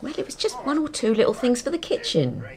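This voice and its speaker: An actress playing an anxious, devoted English wife in a radio drama, husky, tender and hesitant in manner. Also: female voice, fairly high pitch, wildly swooping pitch, fast, darkish tone, exaggerated voice. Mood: wry, hesitant. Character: anxious, plain-spoken, devoted wife and mother.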